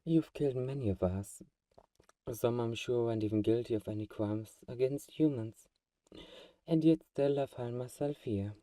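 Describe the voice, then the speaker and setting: A man playing a teasing, possessive vampire who is friends with a hunter, in an intimate ASMR audio roleplay with sighs and soft chuckles. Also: medium pitch, moderate pace, no room sound, distant mic, natural voice. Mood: knowing, intimate.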